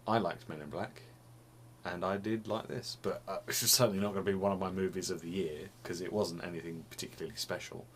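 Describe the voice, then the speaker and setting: A young man with a very deep, low voice talks loosely to the camera, circling back and repeating himself as he goes. He sounds a little sniffly. It is recorded on a desk camera in a small room at home, with a faint hiss behind him. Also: steady tone, brisk. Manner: rambling, restarts phrases, laughs often. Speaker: vlogger. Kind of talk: casual chat to camera.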